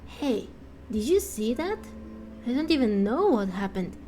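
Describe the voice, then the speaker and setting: Woman as a slow-spoken, grumbling fisher villager. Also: on the high side, very animated, warm tone, slight background noise. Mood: amazed, grumbling.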